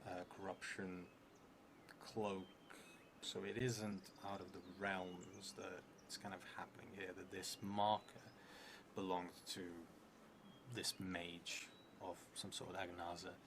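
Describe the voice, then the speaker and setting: A low-pitched man in his thirties thinks aloud through a solo roleplaying story. He is hesitant and musing, letting sentences trail away. The recording has clear background noise. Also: flat delivery, slow. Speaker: solo tabletop roleplay player and streamer. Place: indoors at a table in a theatre room. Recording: clearly noisy room recording.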